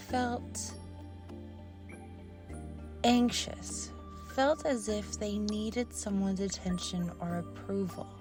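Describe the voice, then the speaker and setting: A woman narrating a guided meditation in a soft, soothing manner, with long pauses between short phrases. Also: mid-range voice. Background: meditation music.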